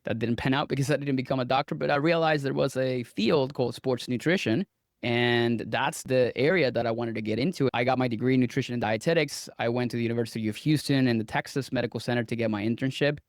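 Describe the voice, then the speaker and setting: A man's voice: mid-range voice, quick pace, no echo, studio quality. Earnest man in his thirties. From Venezuela. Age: 30s. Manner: earnest, storytelling, occasional self-repeats. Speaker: guest dietitian. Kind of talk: interview answers.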